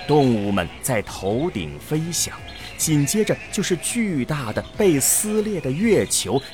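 A man narrating an audio drama from a script in a grave, dramatic manner. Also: fairly low pitch.

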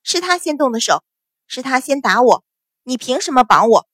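A woman's voice: high-pitched, very animated, unhurried, no echo, clean studio sound, heavily acted. A woman voicing a furious, spoiled young concubine in an audiobook drama, screaming with defiance. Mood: indignant, defiant.

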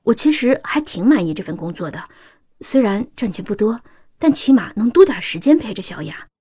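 A woman's voice: medium pitch, big pitch swings, fairly quick, dark tone, breathy edge. An actress playing a devoted, anxious working-class mother in a science-fiction radio drama, pleading and sighing emotionally. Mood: tender, wistful.

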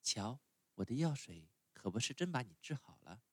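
Reader doing a gentle mom-like fairy, soft and quiet, sways around a lot. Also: mid-range voice, very animated, moderate pace, no room sound. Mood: scolding. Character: patient, motherly fairy caring for the puppet.

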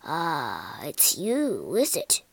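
A woman voicing a grave old male wizard who mutters deliberately. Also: medium pitch, big pitch swings, fairly bright tone, dry recording, heavily acted. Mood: muttering, knowing. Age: older.

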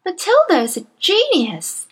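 A woman voicing a gentle young female schoolteacher who pleads earnestly in a high voice. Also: wildly swooping pitch, unhurried, full character voice. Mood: earnest pleading.

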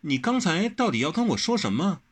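A man's voice: high-pitched, very animated, a little fast, slightly rough, pitched above the performer's own voice, heavily acted. A man voicing a headstrong, pouty young swordswoman in an audiobook, whiny and insistent. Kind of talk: audiobook character reading.

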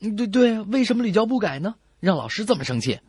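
Animated female radio comedy co-host. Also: mid-range voice, fast, slightly rough, no echo. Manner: expressive storytelling, acts out characters. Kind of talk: comedy radio joke-telling.

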